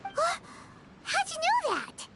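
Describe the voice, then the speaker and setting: A woman voicing a tiny, childlike fairy companion in an anime video game, squeaky, eager and naive. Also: huge pitch swings, slow, very noisy, heavily acted. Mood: amazed.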